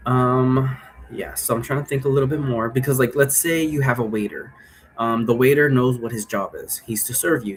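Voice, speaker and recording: A man's voice: mid-range voice, a bit bright, slight background noise, rough recording. A man casually explaining his role-play game in a rambling way, often checking for agreement with tag questions. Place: indoor room.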